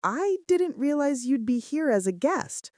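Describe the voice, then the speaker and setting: Woman voicing a sly male business rival, animated. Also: sits high, measured pace, no echo, studio quality, voice pushed up. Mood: amused curiosity. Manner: amused, probing.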